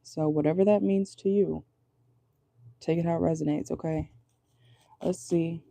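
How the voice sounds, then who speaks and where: A woman with a low voice giving a casual tarot reading from home, chatty and rambling, often checking in with her viewers. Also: fairly even intonation, normal speed, gravelly, mellow. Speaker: tarot reader. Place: at home indoors at night.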